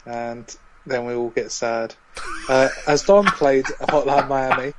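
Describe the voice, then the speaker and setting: A man's voice: mid-range voice, steady tone, takes it slowly, background noise. A man co-hosting a casual games podcast, speaking in an easygoing, teasing way. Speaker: podcast co-host. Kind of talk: casual chat.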